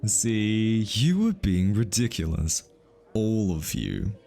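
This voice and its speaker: A man's voice: on the low side, very animated, slow pace, gravelly, dark tone, exaggerated voice. A male voice actor playing an easily flustered, teasing hitman who is smitten, in an ASMR roleplay. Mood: teasing, defensive. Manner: teasing, stammers and backtracks when flustered.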